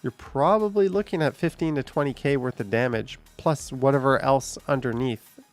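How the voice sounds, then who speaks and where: A man's voice: medium pitch, moderate pace, faint music behind. A man hosting a live stream, chatting casually, thinking aloud and swearing now and then.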